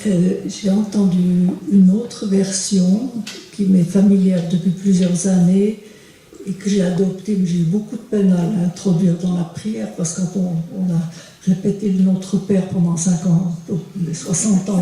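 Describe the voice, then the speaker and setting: An older audience member speaking slowly and earnestly. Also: medium pitch, little pitch movement, mellow. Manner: slow, earnest. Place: attic lecture hall in a school. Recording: very echoey hall from far off.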